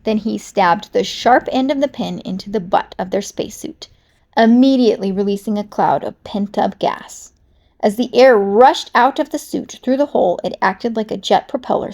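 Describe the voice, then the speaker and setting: A woman reading a children's storybook aloud, with animated, dramatic narration. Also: medium pitch, moderate pace. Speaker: storybook reader.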